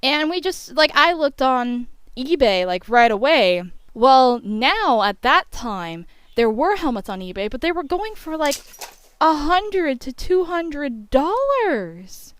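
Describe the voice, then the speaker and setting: A woman's voice: high-pitched, sing-song, takes it slowly, dry recording. A young woman telling a story in a casual, chatty voice-over, peppered with filler phrases like "you know". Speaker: YouTube storyteller.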